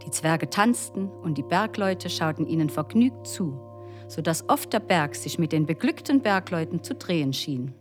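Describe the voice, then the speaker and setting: A woman's voice: sits low, lively intonation, normal speed. A woman narrating a scripted folk tale as a storyteller. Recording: music behind the voice. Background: instrumental music.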